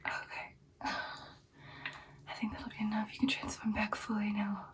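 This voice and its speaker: A woman playing an ancient, confident female vampire girlfriend in an ASMR roleplay, whispering breathily in an intimate, unhurried, matter-of-fact way. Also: medium pitch, flat delivery, far from the mic, lightly acted. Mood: soft, businesslike.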